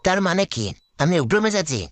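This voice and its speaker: Hot-headed, miserly husband for a comedy cartoon; argumentative, wildly animated intonation. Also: male voice, high-pitched, brisk, no room sound. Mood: indignant. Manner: indignant, argumentative, exclaiming. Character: hot-headed, stingy village husband who haggles and snaps at everyone. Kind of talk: comic cartoon acting in Bengali.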